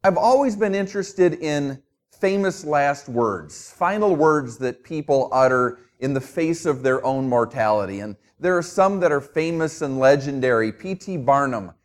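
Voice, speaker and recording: A man's voice: fairly high pitch, animated, normal speed. A middle-aged man from Minnesota with a New Jersey accent, preaching a sermon as a guest pastor in an easygoing, conversational way with self-deprecating humor.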